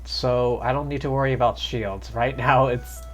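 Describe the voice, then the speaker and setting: Casting: male game streamer, mid-pitched, casual think-aloud commentary, soft music underneath. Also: steady tone, moderate pace, rough recording. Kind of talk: casual live gameplay commentary.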